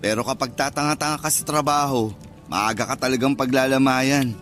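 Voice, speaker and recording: A man's voice: medium pitch, fairly even intonation, brisk, fairly bright tone, faint music behind, close to an everyday voice. An actor playing a superstitious, easily spooked young man chatting in slang in a radio drama. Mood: casual. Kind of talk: radio drama dialogue, casual banter.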